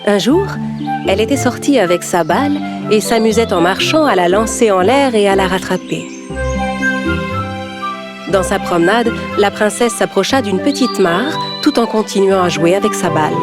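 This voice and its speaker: A woman narrates a children's story in an expressive, scripted style. Her voice is medium in pitch. Music plays loudly behind her throughout. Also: moderate pace.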